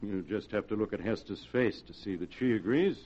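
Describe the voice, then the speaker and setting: An actor playing a smug, sly schemer posing as an uncle, wry and smooth-talking, in 1940s radio acting style. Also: male voice, fairly low pitch, fairly quick, natural voice. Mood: resigned confession. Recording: dull vintage 1940s radio recording.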